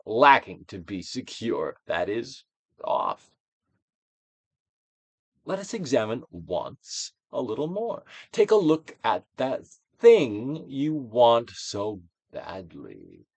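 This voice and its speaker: Slow dramatic guy reading like a stage actor, really swoops around, guided meditation type thing. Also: mid-range voice, sing-song, no room sound. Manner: theatrical, deliberate actorly delivery with emphatic swells and hushed drops. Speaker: narrator reading a self-help book aloud.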